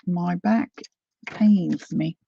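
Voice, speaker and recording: A woman in her sixties from Philadelphia talks slowly and rambles through a craft livestream, reading viewer comments aloud. Her voice has a gravelly rasp and carries her Philadelphia accent. The sound is dry and dull, like lo-fi livestream audio. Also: lowish voice, no room sound. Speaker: craft channel host. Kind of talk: casual livestream craft chat.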